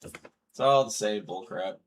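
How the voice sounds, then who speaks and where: A man's voice: on the low side, measured pace, a little hiss or hum. A man hosting a casual livestream with a stuffy nose, blunt and laughing often. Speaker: streamer host.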